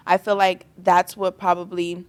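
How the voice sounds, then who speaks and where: A woman's voice: on the low side, level tone, normal speed, clear, resonant tone. A woman hosting a podcast, asking questions in a casual, supportive way and using plenty of slang. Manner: supportive, slangy, warm encouragement. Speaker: podcast host. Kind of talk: casual interview chat.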